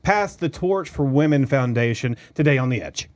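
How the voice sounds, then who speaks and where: A man hosting a casual studio talk show, joking and energetic, often stumbling and repeating words. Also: medium pitch, moderate pace, faint music behind. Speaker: host.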